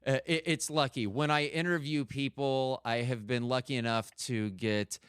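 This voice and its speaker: A man's voice: medium pitch, steady tone, moderate pace, fairly bright tone, smooth, no room sound. American man, middle-aged, podcast host, clean resonant voice, casual, candid, rambling, dry room. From United States. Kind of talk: casual conversational interview.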